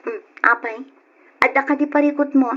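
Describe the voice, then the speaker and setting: An actress playing a caring, probing older sister in an old radio drama. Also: female voice, high voice, fairly even intonation, moderate pace, natural voice. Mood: concerned. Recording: dull lo-fi old radio recording.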